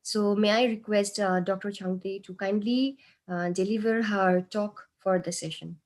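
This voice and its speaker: A woman's voice: mid-range voice, steady tone. Woman hosting an online session. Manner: slow, reading out with fillers. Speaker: session host. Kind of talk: formal introduction of a speaker. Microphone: video call.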